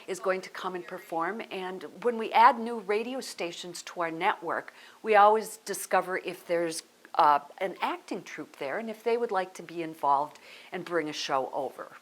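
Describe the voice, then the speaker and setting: An American woman, a theatre director, talking casually in an interview. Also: lowish voice. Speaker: artistic director of radio theatre.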